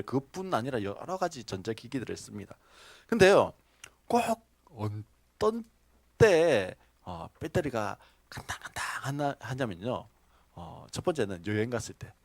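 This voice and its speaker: A man's voice: on the low side, vocal fry, no room sound. A man pastor preaching a sermon, telling stories and asking the congregation questions with laughing asides. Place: church sanctuary.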